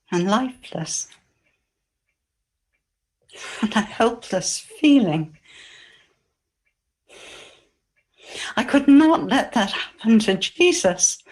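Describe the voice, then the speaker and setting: Woman playing slow-speaking grieving biblical devoted woman. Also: medium pitch. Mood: aching, protective. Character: grieving devoted Mary of Bethany, tender and anguished. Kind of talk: dramatic monologue in a church service.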